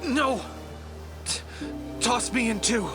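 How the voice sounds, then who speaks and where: An actor playing a hapless but determined adventurer in an audio drama, wry, grumbling and quick to exclaim. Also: male voice, extremely high pitch, over music. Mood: resolute. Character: hapless but determined male fedora-wearing adventurer hero.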